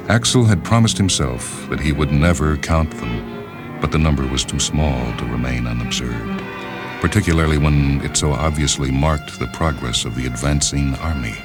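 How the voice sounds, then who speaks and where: A man reading literary fiction as a narrator, in a measured, deliberate and solemn dramatic style. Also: extremely low pitch. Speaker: narrator, short story reader. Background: background music.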